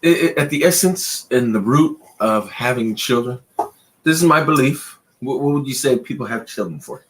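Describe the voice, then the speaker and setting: Lively-pitched man rambling in casual chat. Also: normal speed, slight background noise, low-quality recording. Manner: loose, rambling, swings pitch up and down for emphasis. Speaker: podcast co-host. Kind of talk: casual late-night chat.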